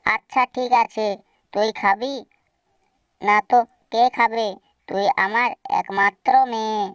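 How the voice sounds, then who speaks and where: A smooth, clear mother bird from a cartoon, played by a woman, gentle and indulgent with her family. The sound is dry and a little way from the mic. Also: on the high side, steady tone, slow, dry recording, distant mic. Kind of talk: scripted cartoon voice acting.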